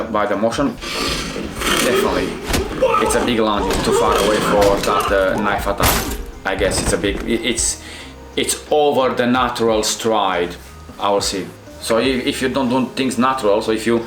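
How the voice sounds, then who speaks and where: A middle-aged man from Romania with a Romanian accent, a Krav Maga instructor reacting to fight scenes in halting, restarting English. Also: on the low side, fairly bright tone, roomy sound. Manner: halting non-native phrasing with many restarts.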